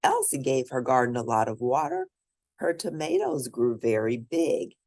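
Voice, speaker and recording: A woman reading a children's picture book aloud expressively, as a storyteller for young listeners who points out the pictures. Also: extremely low pitch. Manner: animated, pauses to point out pictures.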